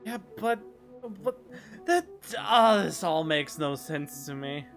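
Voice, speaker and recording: Bright-voiced young man reacting to a game. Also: a little high, animated, slow, vocal fry, soft background music. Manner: exclamatory, joking interjections. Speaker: game streamer. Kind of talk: casual reaction commentary over gameplay. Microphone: close studio condenser microphone.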